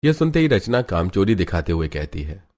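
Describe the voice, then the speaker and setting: A man's voice: low-pitched, big pitch swings, a little fast, darkish tone, soft background music. A man reading scripted storytelling narration for a cartoon family tale.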